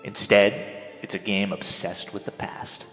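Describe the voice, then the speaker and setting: A young man delivers a theatrical dramatic reading of a written piece in a melodramatic manner, swinging between hushed and loud. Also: lowish voice, flat delivery, measured pace. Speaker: dramatic reader. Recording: echoey hall with long reverb, music behind. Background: music.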